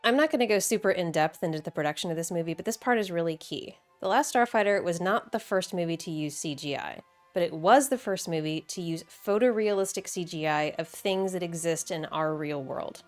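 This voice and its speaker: A woman's voice: lowish voice, fairly quick, faint music behind. A woman hosting a video essay in a casual, conversational and enthusiastic way, with wry asides.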